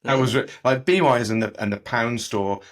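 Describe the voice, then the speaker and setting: A man's voice: medium pitch, moderate pace. A man in his forties hosting a podcast, upbeat and welcoming as he talks with his guest. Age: 40s. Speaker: podcast host. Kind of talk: podcast interview.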